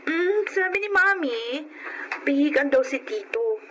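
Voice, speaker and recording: A woman's voice: high voice, lively intonation, measured pace, a bit bright, slight background noise, rough recording. An actress playing a friendly young niece, teasing and reassuring with her friends, in a radio drama.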